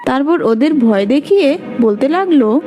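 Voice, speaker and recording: A woman's voice: high voice, expressive, moderate pace. A woman narrating a scripted children's fable as storyteller, with music behind her.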